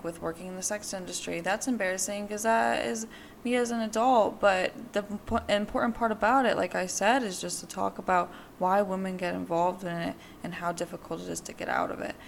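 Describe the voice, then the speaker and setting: Podcast host, female, 20s, gravelly, candid and rambling, faint hiss behind. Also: lowish voice. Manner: candid, rambling, with many fillers. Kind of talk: personal monologue.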